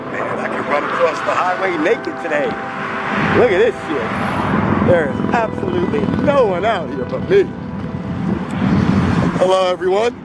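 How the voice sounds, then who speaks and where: A man in his fifties with a hoarse, cold-roughened voice, rambling casually to his camera outside by a road in the early morning. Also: high-pitched, animated, moderate pace, long pauses, very noisy. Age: 50s. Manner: rambling, conversational, talks to the camera. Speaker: vlogger. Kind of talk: casual vlog monologue. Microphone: camera on a magnetic tripod.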